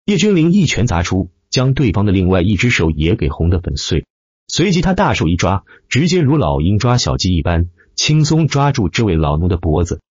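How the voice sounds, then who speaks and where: A man narrates a dramatic tale in a deep voice that moves up and down with animation. His tone is a little rough and husky. The sound is dry and close, a little muffled. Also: sing-song, fairly quick, darkish tone, no echo. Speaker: audiobook narrator. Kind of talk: dramatic scripted web-novel narration.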